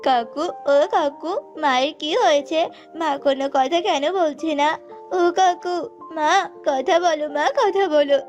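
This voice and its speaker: Woman playing young jackal boy, high-pitched, whiny, pleading, cartoon delivery, muffled, music underneath. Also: a little slow, a little mellow. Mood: grieving. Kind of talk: cartoon voice acting.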